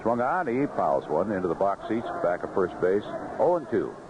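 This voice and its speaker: A man's voice: low voice, big pitch swings, moderate pace, highs cut off, noisy background. A man calling live baseball play-by-play as a radio announcer on a vintage 1970s broadcast. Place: outdoor ballpark broadcast booth.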